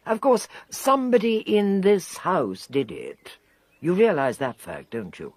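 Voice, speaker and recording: A shrewd older lady sleuth in a period radio play. She sounds dry, mocking and unflappable, and her voice is breathy and swoops lively up and down. The studio sound is close and dead. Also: on the low side, a little slow, no echo. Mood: probing. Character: shrewd older woman amateur sleuth, sardonic. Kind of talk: 1920s-set radio drama.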